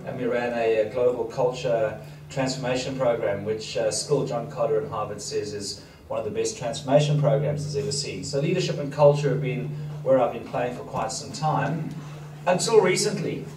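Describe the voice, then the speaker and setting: A man's voice: mid-range voice, normal speed. A man raised in Africa, Danish his first language, with a slight foreign accent, giving a chatty, self-deprecating seminar talk to an audience. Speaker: seminar speaker. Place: event hall with audience. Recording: roomy and distant, noisy hall.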